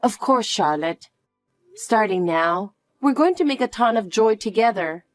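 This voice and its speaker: A woman playing a calm, protective mother who speaks softly and reassuringly to her daughter. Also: a little high, no room sound, faint music behind. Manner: soft, slow, reassuring. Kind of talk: dramatic dialogue.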